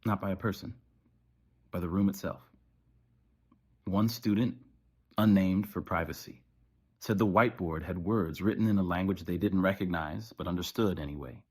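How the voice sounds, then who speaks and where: Male, low voice, formal emergency-bulletin narrator for analog horror, measured and ominous. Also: slightly rough. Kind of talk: scripted horror narration as an official emergency announcement.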